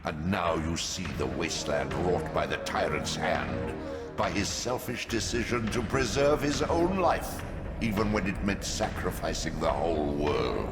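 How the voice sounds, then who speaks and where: An actor playing an arrogant, menacing ancient worm god who speaks in grandiose declarations. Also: male voice, deep voice. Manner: grandiose, commanding. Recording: cavernous echoing reverb over loud music.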